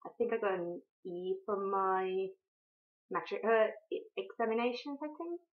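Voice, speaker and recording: A woman's voice: mid-range voice, expressive, normal speed. Hesitant young Finnish woman, muffled sound. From Finland. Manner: hesitant, many ums and restarts, laughs between words. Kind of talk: unscripted talk to camera. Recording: dull lo-fi sound.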